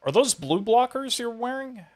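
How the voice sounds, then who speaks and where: A man's voice: very high voice, expressive, normal speed, a crowd in the background. A man hosting a podcast, chatting casually with his guest as interviewer.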